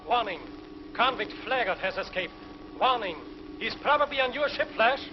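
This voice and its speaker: An actor playing an anxious, stern prison warden in a 1950s TV drama, speaking urgently and formally. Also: male voice, extremely high pitch, expressive, normal speed, background noise. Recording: dull lo-fi 1950s TV soundtrack.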